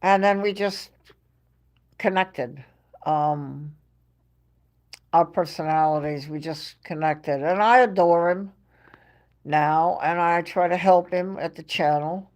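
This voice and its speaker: A woman's voice: on the low side, takes it slowly, long pauses, darkish tone. A woman with a New York accent hosting a livestream, telling stories in a chatty way and laughing often between phrases. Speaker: livestream host. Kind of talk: casual chat to a live chat audience.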